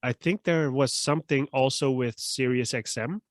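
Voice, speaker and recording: A man's voice: medium pitch, moderate pace, dry recording, clean studio sound. A man co-hosting a podcast, asking questions in casual conversation. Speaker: podcast co-host. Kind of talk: casual chat interview.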